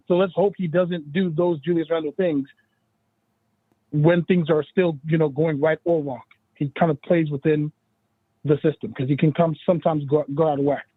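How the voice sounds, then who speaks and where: A fairly high-voiced man calling in over a thin, phone-like line, a bit husky. He chats loosely about the game and laughs between points. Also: no room sound. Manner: chatty, laughs often, "you know what I'm saying". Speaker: guest analyst. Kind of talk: casual sports talk. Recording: tinny phone-line call.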